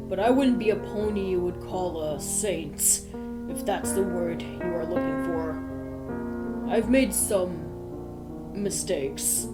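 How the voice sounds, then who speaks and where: Captive pony stallion for audio story, voiced by a woman: meek, earnest, unhurried, loud guitar backing. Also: medium pitch, close to an everyday voice. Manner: hesitant, earnest. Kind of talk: fan fiction audio story acting.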